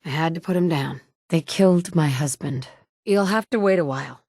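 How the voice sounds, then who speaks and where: Casting: a tough, wry survivor mom, slightly low voice, close dry booth sound. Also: female voice, normal speed, darkish tone, no room sound. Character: tough wasteland survivor mother, blunt and wry. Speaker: player character voice. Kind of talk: game voice lines.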